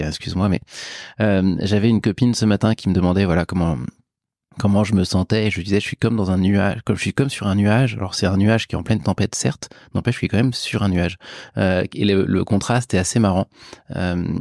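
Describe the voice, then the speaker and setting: A man's voice: sits low, darkish tone, no room sound, clean studio sound. A man in his forties, a podcast guest talking casually and reflectively, with informal asides and emphatic repetition.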